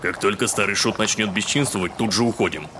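An actor playing a pompous, fussy educated gentleman in an audio drama. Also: on the low side, fast, noisy background, lightly acted. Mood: lecturing. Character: haughty, irritable educated liberal gentleman landowner.

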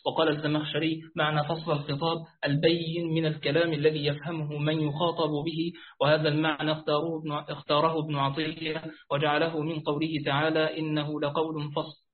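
A man's voice: fairly high pitch, completely flat delivery, fast. A man reading a classical commentary aloud carefully, with restarts, over a phone on a weak network from a rooftop. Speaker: student reading the text aloud. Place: rooftop outdoors. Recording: tinny phone-like line.

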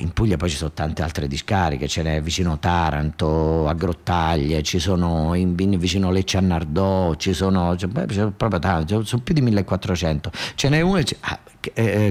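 A man's voice: low-pitched, darkish tone. A man with a southern Italian accent delivering a colloquial, dialect-tinged narrative theatre monologue, repeating phrases for emphasis. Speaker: storyteller, monologue stage actor. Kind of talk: dramatic narrative theatre monologue about real events.

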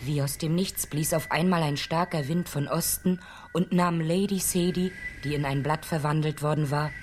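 A woman's voice: sits low, steady tone, normal speed, natural voice. A woman narrating a fairy-tale radio play in scripted storytelling style. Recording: clear background noise and ambience.

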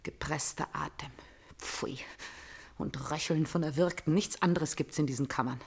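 An actress playing a bitter, hate-consumed princess in a classical radio tragedy, scornful and declamatory, moving between hushed menace and loud cries. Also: female voice, deep voice, normal speed, husky. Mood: grim, hushed. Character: bitter, hate-consumed princess obsessed with avenging her father. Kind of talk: classical German stage-tragedy radio acting.

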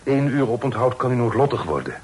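An actor playing a courteous, principled and somewhat impatient young gentleman in a radio play, speaking with reserved, emphatic politeness. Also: very animated, quick pace, husky. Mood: firm, cautioning. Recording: old radio play, faint hiss and rumble.

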